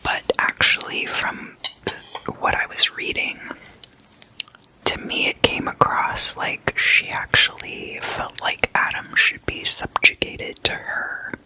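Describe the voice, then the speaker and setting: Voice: slow pace, sharp tone, low-quality recording. An ASMR host telling stories in a whisper, in a casual, gossipy, conversational manner.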